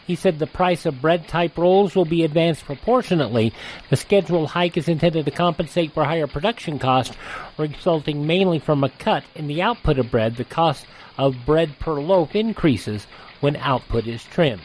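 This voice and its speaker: A man's voice: a little high, normal speed, a little hiss or hum, low-quality recording. A man reading scripted news items with datelines as a radio host.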